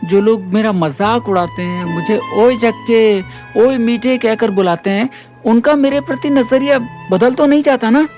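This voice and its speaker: A man's voice: very high voice, fairly even intonation, normal speed, a little mellow. Male actor as troubled young student, timid, earnest, pleading, audio drama, faint background music. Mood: despairing. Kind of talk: Hindi audio drama acting.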